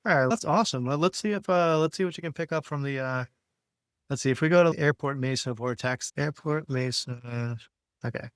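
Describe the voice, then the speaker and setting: A man explaining things casually, in an informal, halting way as he thinks aloud. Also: medium pitch, clean tone, dry recording. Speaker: podcast co-host.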